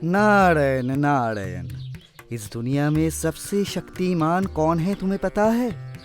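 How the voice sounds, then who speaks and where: Slow-spoken gentle divine sage. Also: male voice, a little high, expressive. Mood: gentle teaching. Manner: chanting catchphrase, measured, instructive. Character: gentle devout divine sage, calm teacher. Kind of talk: cartoon mythological dialogue. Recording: faint music behind.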